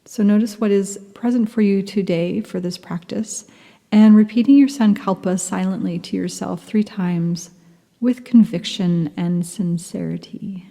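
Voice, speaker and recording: Woman meditation guide, very slow and mellow, soft half-whispers, long reverb around the voice. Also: mid-range voice, fairly even intonation, gravelly. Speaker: yoga nidra teacher.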